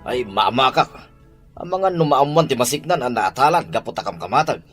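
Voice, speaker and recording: A man's voice: mid-range voice, animated, a little fast, fairly bright tone, soft background music, natural voice. A man playing a fearful yet menacing accomplice in an Ilocano audio drama. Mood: worried, threatening.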